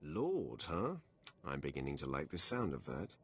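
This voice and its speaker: An actor playing an arrogant, imposing Sith warrior in a video game, speaking curtly and haughtily. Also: male voice, a bass voice, very animated, moderate pace, a bit bright, husky, full character voice. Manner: curt, haughty. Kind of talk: scripted game dialogue.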